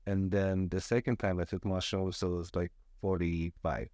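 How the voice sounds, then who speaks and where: A man who grew up in Israel, talking as a podcast guest in a soft, hesitant way with many fillers. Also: low-pitched, little pitch movement, normal speed, no room sound, studio quality. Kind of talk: casual chat.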